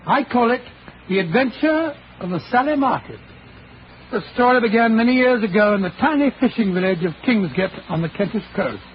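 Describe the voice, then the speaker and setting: Older English gentleman storyteller, high voice, genial, deliberate, muffled vintage radio drama. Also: animated. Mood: grave storytelling. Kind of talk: 1940s radio acting. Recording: muffled old radio broadcast, hissy.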